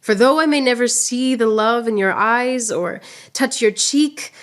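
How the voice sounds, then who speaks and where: A woman's voice: a little high, unhurried, a bit bright. A woman playing a proud, witty, wounded swordswoman poet in radio theater, with a lofty, theatrical delivery. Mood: tender longing.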